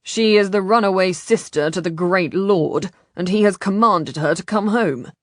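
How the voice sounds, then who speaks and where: A woman voicing a stern, businesslike male galley commander in an audiobook, flat, curt and commanding. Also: mid-range voice, normal speed, no echo, studio quality, lightly acted.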